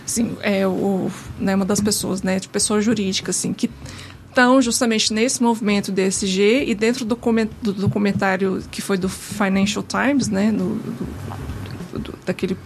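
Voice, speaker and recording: A woman's voice: medium pitch, steady tone, normal speed, a little hiss or hum. A woman with a Portuguese accent hosting a casual podcast chat, often affirming and chiming in with her own words.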